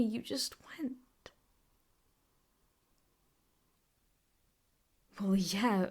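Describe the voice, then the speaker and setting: A woman acting as an obsessive yandere ex-girlfriend with a fairly high voice, in an ASMR roleplay. She speaks very slowly and haltingly, possessive and intimate, often dropping into a whisper. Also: a little mellow. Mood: defensive, jealous. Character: obsessive, possessive yandere ex-girlfriend holding her captive lover.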